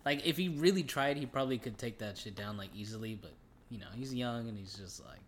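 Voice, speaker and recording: A man's voice: medium pitch, brisk. A young man co-hosting a podcast, talking casually about films in informal, slangy language. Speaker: podcast co-host. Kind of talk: casual movie chat.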